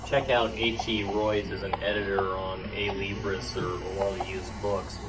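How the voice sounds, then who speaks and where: A man's voice: deep voice, little pitch movement, moderate pace, over loud music. A man giving informal, knowledgeable commentary as a member at a club meeting. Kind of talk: informal expert commentary from the floor. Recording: noisy meeting room.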